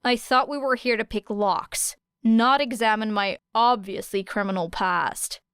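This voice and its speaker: A woman voicing a guarded, sarcastic teenage girl with a dry, deadpan manner in an audiobook. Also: fairly high pitch, a little slow, clean tone, no echo. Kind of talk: audiobook character voice.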